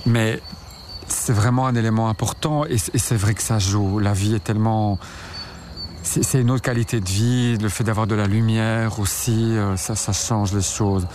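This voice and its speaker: A man's voice: on the low side, steady tone, moderate pace, a little mellow, slight background noise. A man from Belgium being interviewed, thinking aloud with hesitant restarts and fillers. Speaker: author and researcher, interviewee. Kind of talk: radio interview, explaining.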